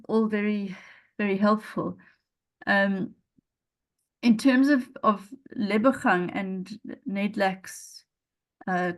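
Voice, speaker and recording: A woman's voice: mid-range voice, creaky. A woman, the report's author, answering respondents earnestly at a panel and often restarting her phrases.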